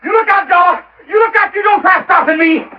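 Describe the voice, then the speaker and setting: A man's voice: extremely high pitch, a little fast, raised voice. An actor playing a cornered, hot-tempered young male killer, agitated and threatening, in 1950s radio melodrama style. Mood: frantic, threatening. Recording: noisy, muffled old radio recording.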